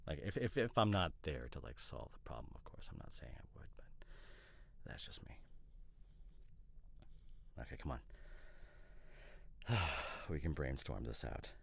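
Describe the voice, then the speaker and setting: A man playing an opinionated, teasing boyfriend in an ASMR roleplay, chatting casually in a hesitant, rambling way with mock seriousness and a gravelly voice. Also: extremely low pitch, big pitch swings, a little slow, pauses often, full character voice. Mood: pondering. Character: opinionated, teasing boyfriend debating couple's costume ideas.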